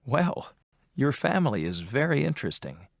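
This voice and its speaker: An adult voice playing an interviewer in a scripted textbook dialogue, asking friendly questions with measured delivery. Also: mid-range voice, big pitch swings, gravelly, no room sound, clean studio sound. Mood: plain.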